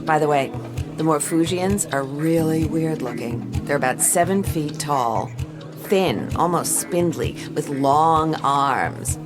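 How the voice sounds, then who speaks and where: A cool, wry female space adventurer narrating her travels in a radio play. Her voice is low and her delivery dry and deadpan, with music behind it. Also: takes it slowly. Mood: dry, amused. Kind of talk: audio drama narration.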